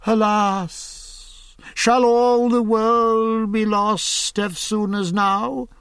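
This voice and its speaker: A man reading Middle English verse, voicing a sly, secretive young male scholar who speaks in a hushed, solemn way. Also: sits high, very animated, slow pace, creaky, dry recording, studio quality, full character voice.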